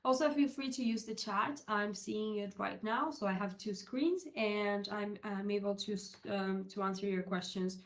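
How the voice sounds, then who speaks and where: A woman's voice: medium pitch, normal speed, hardly any pauses. Young Uruguayan woman presenting, Spanish-accented. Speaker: presenter, HR technology consultant. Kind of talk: informal online conference presentation. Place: online video call session.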